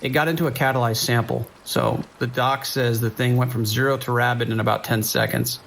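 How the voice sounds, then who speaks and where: A man playing a steady, weary sheriff's dispatcher in an audio drama, measured and procedural, with an American accent. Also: medium pitch, creaky, low-quality recording. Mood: concerned, measured. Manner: measured, procedural, hesitant pauses. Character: steady, weary male island sheriff's dispatcher on night shift. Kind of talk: naturalistic audio drama acting. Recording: faint noise, rain behind.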